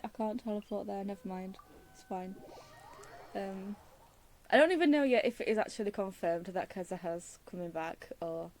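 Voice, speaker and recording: A woman's voice: medium pitch, mellow. A woman chatting casually as she plays a video game, rambling and self-deprecating, with some swearing. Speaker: gamer commentating on her own play. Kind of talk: casual chat while playing a video game. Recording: music behind the voice. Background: video game music.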